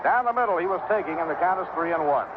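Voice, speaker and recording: A man's voice: fairly high pitch, lively intonation, quick pace. Tinny old radio broadcast, very noisy, American man, baseball play-by-play announcer, 1960s live sports commentary style. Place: outdoor ballpark broadcast position.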